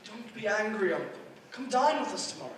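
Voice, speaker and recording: An actor playing a good-humored, warm-hearted nephew, earnest and pleading, in a 1940s radio drama style. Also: male voice, sits high, big pitch swings, brisk. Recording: very echoey stage, distant mic, faint noise.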